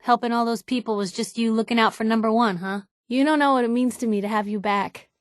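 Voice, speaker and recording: A woman's voice: on the high side, steady tone, quick pace, dry recording, studio quality, close to an everyday voice. An actress with an American accent playing a feisty, nosy young woman reporter as a game companion, wry, snappy, informal and emotionally direct. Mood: warm, grateful. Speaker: video game companion character. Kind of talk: acted game dialogue.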